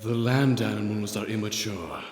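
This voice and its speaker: A man's voice: lowish voice, moderate pace, lightly acted. Echoing, ancient man as a giant whale. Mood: grave, withholding. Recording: echoey hall with background noise.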